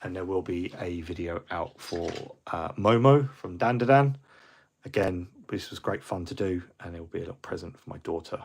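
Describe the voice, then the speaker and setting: A middle-aged man rambles through a casual livestream wrap-up, full of ums and false starts. His voice is husky and sits a little low. He sounds a bit fluey. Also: animated, moderate pace, darkish tone. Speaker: hobby livestream host.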